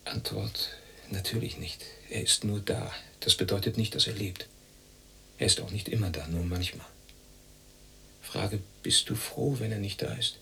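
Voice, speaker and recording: Radio monologue self-questioning lonely man: deep, gravelly, tense-edged, clipped delivery. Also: unhurried, pushed and bright, a little hiss or hum, full character voice, low-quality recording. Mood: brooding. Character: brooding, lonely man questioning and answering himself.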